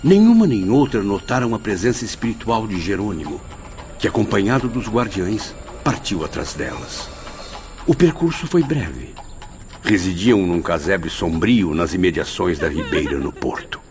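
A man's voice: sits low, big pitch swings, moderate pace. A man narrating a radio drama in a solemn, storytelling manner.

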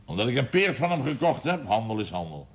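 An actor playing a gruff, shrewd, middle-aged businessman with a Dutch accent in a television drama, blunt and grumbling. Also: mid-range voice, big pitch swings, brisk. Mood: gruff, dismissive. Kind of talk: naturalistic television drama acting.

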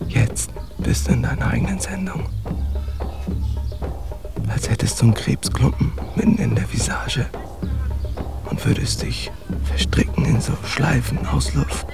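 An actor playing a bitter, streetwise young immigrant man in a radio drama, speaking intense, profane slang in a gravelly, confiding half-whisper. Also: mid-range voice. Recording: music behind, roomy sound.

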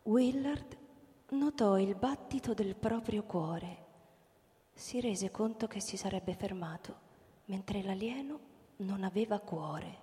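A woman narrating a science fiction story for a radio drama in a measured, scripted storytelling style. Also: mid-range voice, warm tone, big-room sound, lightly acted. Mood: measured, grave.

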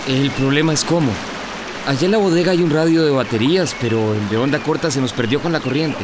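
A man's voice: mid-range voice, fast, background noise, natural voice. An actor playing a brusque, impatient young man in a radio drama.

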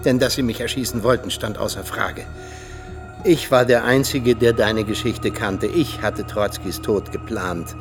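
An actor playing an embittered, world-weary older Soviet ex-agent and mentor in a radio drama, speaking emphatically. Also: male voice, lowish voice, steady tone, a little slow, faint music behind. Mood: weary, defiant. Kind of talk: radio drama acting, monologue-like confession.

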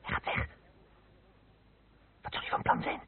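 A man playing an excitable, loyal co-driver in a radio play. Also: measured pace, natural voice. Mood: anxious. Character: excitable, loyal male co-driver, anxiously alert. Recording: tinny, noisy old radio recording.

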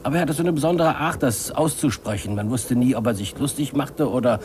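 A male actor playing a long-serving bookkeeper in a 1950s German radio drama, measured, formal and quietly resentful, on a noisy old recording. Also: mid-range voice, natural voice. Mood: measured recollection.

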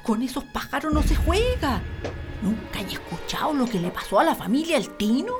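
A superstitious rural Chilean wife in a radio play. Her voice is high and swings widely, with colloquial, exclamatory country phrasing. She comes across as anxious and bossy, with music prominent behind her. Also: very animated, normal speed. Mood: fearful, scolding. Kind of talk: radio drama acting.

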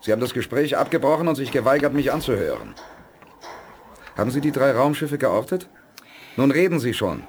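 An actor playing a firm, stern astronaut commander in a 1980s German radio drama, speaking insistently. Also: male voice, mid-range voice, very animated, brisk, long pauses, a little hiss or hum. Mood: angry.

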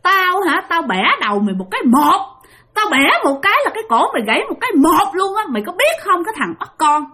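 A woman voicing a menacing old female ghost hag who taunts and threatens in a shrill voice. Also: big pitch swings, quick pace, bright, forceful tone, exaggerated voice. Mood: threatening.

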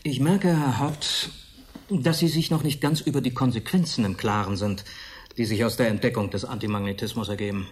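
A man playing a husky, self-assured professor. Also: mid-range voice, close to an everyday voice. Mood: grandly explaining. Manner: formal, deliberate, knowing. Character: urbane, self-assured male professor and institute director. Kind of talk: German radio drama acting.

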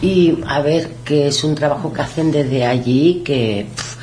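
A woman's voice: low-pitched, measured pace, a little hiss or hum. Woman co-host recounting, affirming often. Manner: animated storytelling, many affirmations. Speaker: radio co-host. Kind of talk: casual radio discussion.

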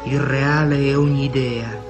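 A man reciting poetry in a solemn, grave and measured manner. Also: medium pitch, fairly even intonation, music in the background.

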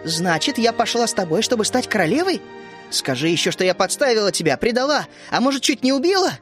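Мужчина-чтец озвучивает обидчивую вспыльчивую девочку, говоря с ней резко и с негодованием. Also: male voice, extremely high pitch, animated, normal speed, soft background music, pitched above the performer's own voice. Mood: indignant. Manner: indignant, snappy. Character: touchy quick-tempered young girl.

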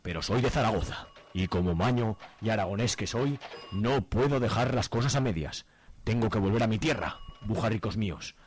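A man's voice: lowish voice, moderate pace, close to an everyday voice. A man with an accent from Spain playing a cheeky, proud young activist in a comic radio soap, exclaiming in a theatrical, colloquial way. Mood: proud, resolute. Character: excitable young male left-wing activist, cheeky and proud.

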